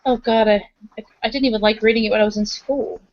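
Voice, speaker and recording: A woman's voice: medium pitch, steady tone, quick pace, no room sound. A woman chatting casually as a player in a tabletop roleplaying game. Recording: dull lo-fi audio.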